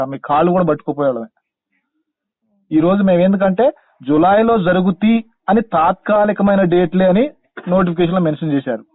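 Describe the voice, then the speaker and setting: High-pitched pleading young Telangana man on phone. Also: dry recording. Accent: Telangana Telugu. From Khammam district, Telangana, India. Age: young adult. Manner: respectful, insistent, pleading. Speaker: caller, teacher-exam candidate. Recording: narrow, tinny phone line.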